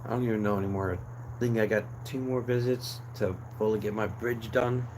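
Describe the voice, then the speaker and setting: A man's voice: on the low side, steady tone, a little fast. A young man hosting a casual live chat, losing his voice and rambling along with fillers and restarts. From New Jersey, USA. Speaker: livestream host. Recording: clear background noise.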